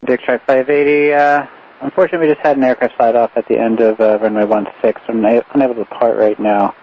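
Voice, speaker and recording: A man's voice: medium pitch, flat delivery, fast talker. A man with an American accent working as a tower air traffic controller, giving clipped procedural calls over radio. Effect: aviation radio transmission. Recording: muffled, faintly noisy aviation radio. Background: faint radio noise.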